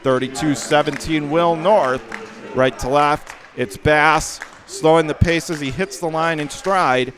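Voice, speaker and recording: Higher-voiced American male basketball play-by-play announcer. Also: a little slow, a crowd in the background. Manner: rattles off clipped phrases, rising to excited calls on plays. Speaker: play-by-play commentator. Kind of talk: live basketball radio play-by-play. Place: indoor high school gymnasium.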